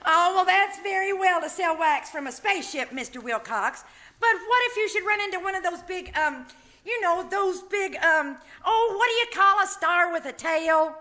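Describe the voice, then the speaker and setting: Woman acting a very high-pitched, sensible radio wife. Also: expressive, moderate pace, bright, forceful tone, heavily acted. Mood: gently chiding. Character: sensible, chiding, kindly wife. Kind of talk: old-time radio comedy acting. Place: live stage performance at a winery.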